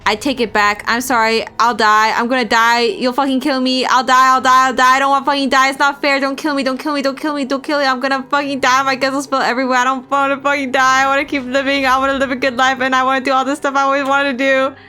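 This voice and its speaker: A woman's voice: high-pitched, little pitch movement, fast, hardly any pauses, pushed and bright, clear, resonant tone, faint music behind, pitched above the performer's own voice. A woman voicing a terrified, beaten young man who begs frantically for his life in a storybook reading. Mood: desperate. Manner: frantic, pleading, repetitive.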